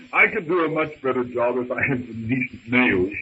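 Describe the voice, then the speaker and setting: On a muffled, faintly hissy old radio recording, a male actor plays a proper English gentleman stranded in the jungle. His pitch moves animatedly through formal, earnest sentences. The delivery is theatrical adventure-serial drama. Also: lively intonation, normal speed, natural voice. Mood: brisk, practical. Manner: formal, genteel, theatrical phrasing.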